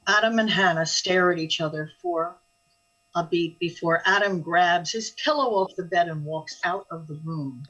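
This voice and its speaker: A woman's voice: on the low side, normal speed, a bit bright. A woman reading scripted scene narration as the narrator of a radio drama, in a slightly muffled recording.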